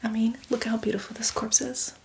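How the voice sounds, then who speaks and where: A woman playing a boastful, playfully menacing female zombie in a chatty, teasing ASMR roleplay, speaking in a breathy, hushed whisper. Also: a little high, little pitch movement, brisk, slight background noise. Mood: chatty, proud. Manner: chatty, teasing, addresses the listener as victim.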